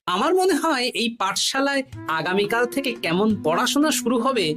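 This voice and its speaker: A man voicing a witty, suspicious male court jester in a cartoon, wry and quick with a quip. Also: very high voice, expressive, pushed and bright, faint music behind, heavily acted. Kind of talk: cartoon voice acting.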